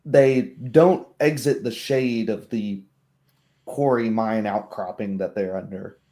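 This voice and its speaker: A man narrating a tabletop roleplay as game master, describing scenes in a measured storytelling manner. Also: on the low side, dark tone.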